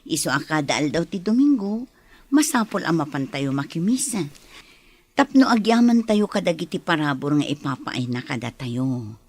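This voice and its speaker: A woman acting a gentle, devout mother in an Ilocano radio drama, speaking patiently and soothingly. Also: low voice, big pitch swings, moderate pace, slightly rough, exaggerated voice. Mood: gentle, pious. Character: gentle, devout young mother of a small child.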